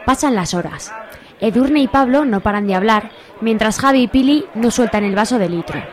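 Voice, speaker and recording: A woman's voice: a little high, expressive, normal speed, some chatter behind. A woman narrating a radio soap from a script as its storyteller.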